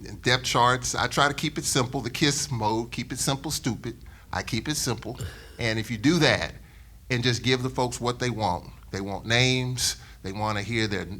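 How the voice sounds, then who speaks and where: A middle-aged man talks on a panel in a bright, forceful voice at an ordinary male pitch. He is a sports broadcaster speaking off the cuff, leaning on repeated phrases to drive things home. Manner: emphatic, repeats phrases for emphasis. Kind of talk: unscripted panel talk.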